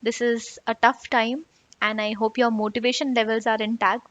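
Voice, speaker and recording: A woman host wraps up the video in a fairly high voice, appreciative and gently encouraging toward her viewers. The recording is dry and a little muffled, with no room sound. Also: flat delivery, normal speed, dry recording. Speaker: channel host. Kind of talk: direct-to-camera closing remarks.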